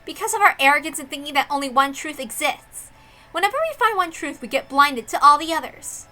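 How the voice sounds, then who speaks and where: Casting: woman to play proud young female sleuth for visual novel; high-pitched, piercingly bright, theatrical monologues. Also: animated, faint music behind. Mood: moved, reverent. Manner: theatrical, deliberate, dramatic monologue delivery. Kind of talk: dramatic reading of a visual novel.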